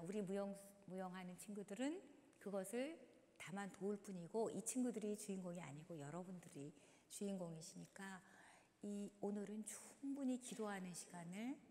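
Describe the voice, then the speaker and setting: A hoarse woman guiding a congregation through simple prayer movements in a church, speaking slowly and encouragingly. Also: medium pitch, darkish tone. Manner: slow, instructive, encouraging. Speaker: dance leader in a church service. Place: large church sanctuary. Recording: long reverb in a large hall.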